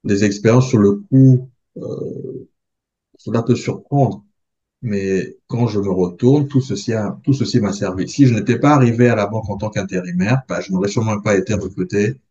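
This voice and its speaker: A man in his forties, from the Congo, talks in a calm, thoughtful way and often pauses to hesitate. His voice is low and dark. The podcast sound is a little muffled. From Republic of the Congo. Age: 40s. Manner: measured, thoughtful, with frequent hesitations. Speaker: guest. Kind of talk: reflective interview talk.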